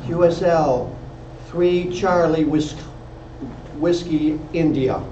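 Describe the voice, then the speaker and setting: Slow-talking man, distant and echoey. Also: on the high side. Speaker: club member practicing radio exchanges. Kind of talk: spelling out radio call signs. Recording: echoey room, distant muffled mic, noisy background.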